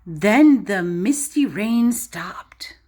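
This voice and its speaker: Female early-years teacher, interactive storytime read-aloud, very lively intonation, unhurried pace, clearly pitched at little kids. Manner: expressive, child-directed, often questioning and explaining. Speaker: preschool or early primary teacher.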